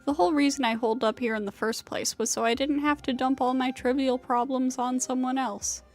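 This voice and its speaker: A young female rider from a video game, played by a woman, worn out and full of self-doubt. Her voice sits fairly high and carries a bitter, venting weariness, with faint music underneath. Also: little pitch movement, brisk, close to an everyday voice. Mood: guarded, resigned. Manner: weary, venting, self-deprecating. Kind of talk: scripted video game voice acting.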